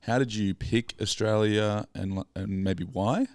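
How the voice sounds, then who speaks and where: A man's voice: on the low side, fairly even intonation, measured pace, darkish tone, dry recording, studio quality. A man hosting a podcast, asking questions in an easygoing, slightly hesitant way. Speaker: podcast host. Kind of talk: casual interview chat.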